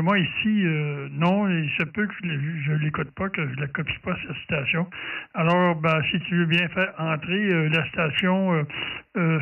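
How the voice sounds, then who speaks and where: Quebec French man, higher-pitched voice, hesitant delivery, casual ham radio chat, thin phone-like sound. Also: gravelly. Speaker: amateur radio operator. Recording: narrow tinny sound, distant from mic.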